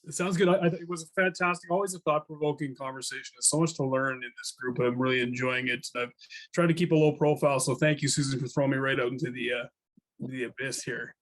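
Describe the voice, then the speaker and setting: A man's voice: medium pitch, steady tone, quick pace, fairly bright tone, poor audio. A man, a teacher, joining an online panel in a friendly, modest way. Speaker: teacher, participant.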